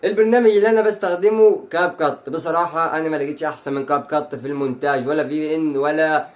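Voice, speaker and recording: A man's voice: a little high, steady tone, very fast. A man in his twenties with an Egyptian Arabic accent, a YouTuber answering fan questions to camera in a chatty, brash way. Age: 20s. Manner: chatty and brash, rattles off lines. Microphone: phone.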